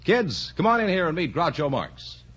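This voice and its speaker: High, animated American radio announcer. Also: male voice, normal speed, husky. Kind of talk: 1950s radio announcing, showy introduction. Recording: dull vintage radio broadcast.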